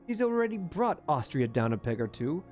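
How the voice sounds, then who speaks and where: A man voicing a sardonic Russian gentleman in a reading of a classic novel. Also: a little high, very animated, a little fast, soft background music. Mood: sardonic, questioning. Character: sardonic Russian gentleman arguing at dinner, smiling through frown.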